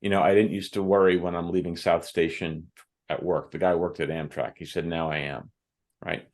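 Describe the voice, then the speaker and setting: A man on a podcast as a guest author and firearms instructor, telling stories from his classes in a thoughtful, measured way. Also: deep voice, flat delivery, darkish tone.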